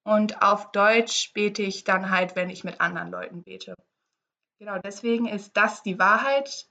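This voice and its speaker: A woman's voice: medium pitch, a little slow, gravelly. Podcast co-host, woman, English as first language, easygoing chatty delivery, lo-fi mic. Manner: relaxed and chatty, explaining a guessing game. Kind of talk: casual podcast chat.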